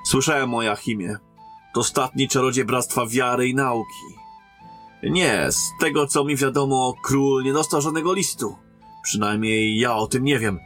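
An actor playing a formal, cautious captain sent as a royal emissary in a fantasy audio drama. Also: male voice, medium pitch, normal speed, fairly bright tone, soft background music, close to an everyday voice. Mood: measured, formal.

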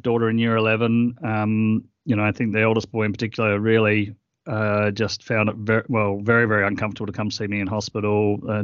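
A middle-aged man guesting on an interview, talking earnestly and haltingly with many fillers. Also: lowish voice, fairly bright tone, dry recording, dull audio. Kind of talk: reflective interview conversation.

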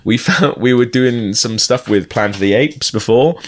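A man's voice: mid-range voice, normal speed. A man co-hosting a podcast, chatting casually about a TV episode, laughing often and swearing freely. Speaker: podcast co-host. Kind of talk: casual chat reviewing a TV episode.

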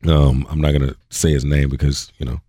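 A man's voice: a bass voice, flat delivery, fairly quick, warm tone, no room sound, clean studio sound. A man hosting a podcast, telling stories in a laid-back, joking way. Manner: laid-back, jokey storytelling. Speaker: podcast host. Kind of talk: casual conversation.

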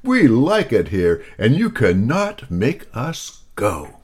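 A man voicing a bossy male alien leader who shouts and then pleads. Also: medium pitch, huge pitch swings, slow, breathy edge, pitched above the performer's own voice, exaggerated voice. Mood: defiant.

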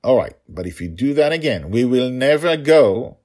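A man voicing a stern, protective, worried pirate father in an audio story, scolding slowly and emphatically. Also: medium pitch, sing-song, darkish tone, pitched above the performer's own voice.

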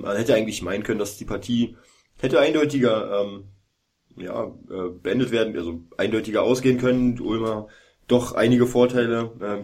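A man co-hosting a sports podcast, recapping games in a halting way with many filler sounds. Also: mid-range voice, darkish tone. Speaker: podcast co-host.

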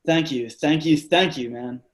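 Actor as dramatic male friend, effusive, pleading, slangy, higher-pitched, roomy stage-play reading. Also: a little slow, close to an everyday voice. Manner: effusive, grandiose, casual slangy delivery. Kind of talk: theatrical readers-theatre stage acting.

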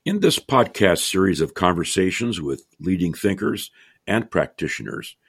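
A man's voice: low-pitched, animated, normal speed, breathy edge, no echo, studio quality. An older man hosting an interview podcast, reading a formal introduction and then asking questions in a chatty way, often repeating words. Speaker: podcast host.

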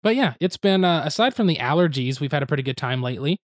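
A slightly hoarse man from Oklahoma, co-hosting a podcast in easygoing, rambling casual chat. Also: fairly high pitch, animated, fast, dry recording, clean studio sound. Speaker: podcast co-host.

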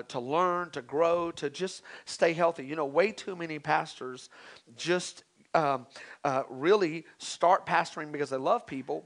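High-voiced energetic pastor, man. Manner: energetic, hypes the crowd. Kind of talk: sermon introduction to a congregation.

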